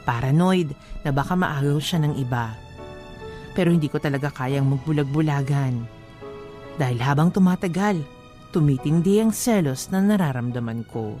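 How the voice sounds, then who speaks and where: A man's voice: fairly high pitch, normal speed, mellow, faint music behind, close to an everyday voice. A man with a Filipino accent narrating a troubled letter aloud for a scripted radio drama. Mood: earnest.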